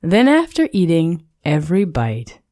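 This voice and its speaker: A woman narrating a children's story in a measured, expressive read-along style. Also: lowish voice, no echo, studio quality.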